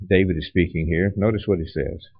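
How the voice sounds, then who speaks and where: A man's voice: low voice, a little fast, slightly rough, no echo. A man preaching a sermon in a conversational teaching style, often restarting his phrases. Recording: dull lo-fi dry recording.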